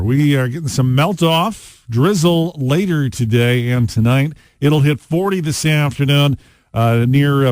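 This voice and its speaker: Animated American rock radio DJ, dry studio sound. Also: male voice, mid-range voice, no echo. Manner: casual, conversational, playful asides. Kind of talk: upbeat classic rock radio DJ patter. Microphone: close studio broadcast mic.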